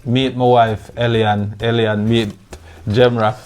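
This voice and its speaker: A Jamaican man hosting a podcast in relaxed patois, often adding "you see it". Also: medium pitch, takes it slowly, smooth, soft background music. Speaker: podcast host. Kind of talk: casual chat.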